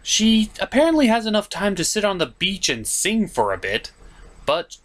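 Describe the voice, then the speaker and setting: A man delivers a comedic film review with lots of swing in his pitch. His manner is sarcastic and exasperated, and he reacts to the story as he tells it. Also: big pitch swings, moderate pace.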